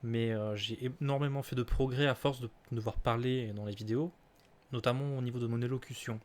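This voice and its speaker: A man's voice: mid-range voice, fairly even intonation, a little fast. Late twenties guy streaming a q&a, lots of euh and restarts, laid back. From southern France. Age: late 20s. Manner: hesitant, many fillers and restarts, laughs easily. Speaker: streamer answering viewer questions. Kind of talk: casual live stream Q&A.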